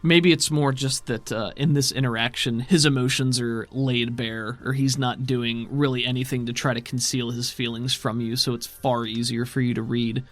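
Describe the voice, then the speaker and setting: A man narrating a tabletop role-playing game as game master in a measured, explanatory way. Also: mid-range voice, fairly even intonation, faint music behind.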